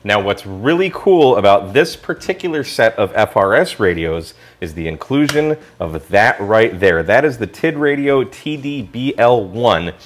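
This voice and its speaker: A man's voice: on the low side, lively intonation, unhurried, hardly any pauses. A man with an American accent giving a casual hands-on gadget review in an enthusiastic, colloquial way. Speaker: product reviewer.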